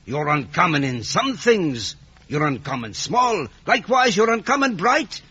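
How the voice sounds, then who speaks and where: A man's voice: high-pitched, very animated, unhurried, pushed and bright, breathy edge, lo-fi sound, full character voice. A man playing a kindly, simple blacksmith in a 1950s radio drama, folksy and hearty. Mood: gentle, consoling. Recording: noisy vintage radio recording.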